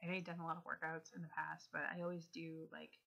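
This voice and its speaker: A woman in her thirties chatting casually in a vlog, rambling with many fillers, her voice going hoarse from a cold. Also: low-pitched, completely flat delivery, fast, sharp tone, dull audio. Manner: rambling, lots of ums and likes. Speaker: vlogger.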